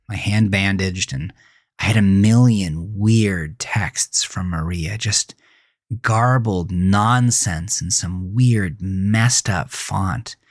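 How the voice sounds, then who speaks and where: Haunted teenage boy, low voice, brooding and halting horror confession, very dry close sound for audio drama. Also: dry recording. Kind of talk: first-person horror audio drama narration.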